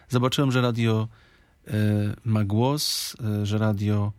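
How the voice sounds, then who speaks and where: Low-pitched, reflective man in dry studio. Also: darkish tone, no echo. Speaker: interview guest, radio drama researcher. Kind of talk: reflective interview talk. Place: radio studio.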